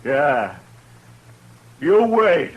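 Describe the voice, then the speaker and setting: A man's voice: fairly high pitch, very animated, extremely slow, muffled recording, full character voice. An actor in a 1950s radio western playing an angry, accusing townsman bent on revenge, confrontational and rustic. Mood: threatening. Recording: noisy 1950s radio broadcast recording.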